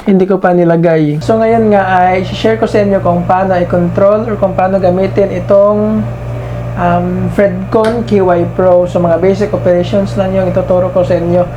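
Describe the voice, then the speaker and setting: A man giving a casual hands-on product demonstration as a reviewer, halting and repeating himself as he searches for words. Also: high-pitched, flat delivery, highs cut off, background noise.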